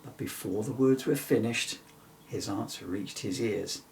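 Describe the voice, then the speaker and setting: A middle-aged man reading a children's story-poem aloud in an expressive, theatrical way. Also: fairly low pitch, unhurried, gravelly, recorded from a distance, poor audio. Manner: animated, theatrical. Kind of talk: expressive rhyming storybook narration.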